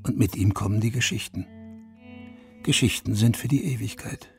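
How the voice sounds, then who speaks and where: Radio drama narrator: haunted veteran writer, forties, male, low and gravelly, intimate storytelling. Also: normal speed, a little mellow. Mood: reflective, haunted. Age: middle-aged. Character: reflective Vietnam veteran turned writer, haunted, in his forties. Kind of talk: German radio drama first-person narration. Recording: studio radio drama over guitar music.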